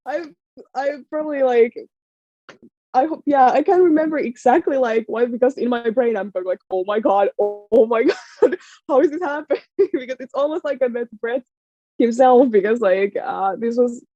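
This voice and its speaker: A woman with a strong Finnish accent and a fairly high, mellow voice tells a story in casual chat. She talks excitably, with many fillers, restarts and laughs. The sound is dry and a little dull. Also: moderate pace, dry recording. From Finland. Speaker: podcast guest.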